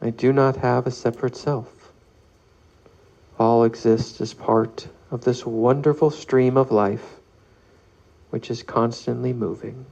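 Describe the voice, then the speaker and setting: A man leading a guided meditation, reading aloud calmly in measured phrases with long pauses. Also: medium pitch, vocal fry, muffled recording. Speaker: meditation leader.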